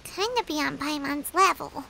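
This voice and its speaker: Squeaky, chirpy little fairy girl. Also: animated, a little slow, creaky, pushed and bright, a little hiss or hum, full character voice. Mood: puzzled, tentative. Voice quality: squeaky, childlike. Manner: cutesy, talks of herself in third person. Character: small childlike fairy girl companion, chirpy and earnest. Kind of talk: video game character dialogue. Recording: background music.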